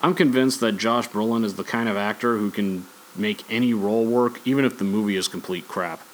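A man's voice: lowish voice, brisk, a little hiss or hum, poor audio. A man hosting a podcast, talking casually in a rambling, opinionated, conversational way. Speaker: podcast host. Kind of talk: casual opinionated podcast talk on sports and movies.

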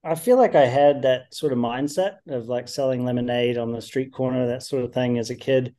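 A man whose voice has a mellow, unforced tone and an American accent with a slight New Zealand tinge. He talks as a podcast guest: upbeat, chatty, and full of "um" and "uh" as he thinks aloud. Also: mid-range voice, fairly quick. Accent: American with some New Zealand tinge. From United States. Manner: chatty, many "um" and "uh" fillers, upbeat. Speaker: startup founder guest. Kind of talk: casual podcast interview.

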